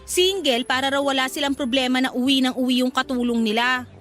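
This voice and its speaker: A woman's voice: sits high, fairly even intonation, brisk, fairly bright tone, soft background music. A woman playing a gossipy, pragmatic young shop co-worker in a radio drama, talking in a sassy, slangy way. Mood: chatty.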